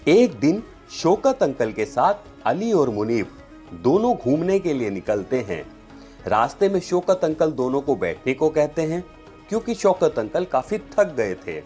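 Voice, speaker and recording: A man's voice: fairly high pitch, very animated, unhurried, dark tone, faint music behind. A man narrating a story for children in a storyteller's style. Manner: acts out the characters' dialogue.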